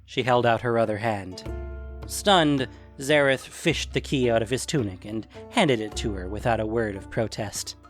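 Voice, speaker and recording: A man's voice: mid-range voice, moderate pace, faint music behind. A man reading scripted fantasy fiction as a dramatic narrator.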